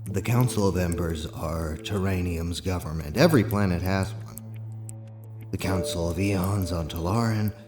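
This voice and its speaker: An actor playing a crewmate who explains things in a hushed voice. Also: male voice, deep voice, steady tone, normal speed, music in the background, lightly acted. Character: young male crewmate explaining quietly.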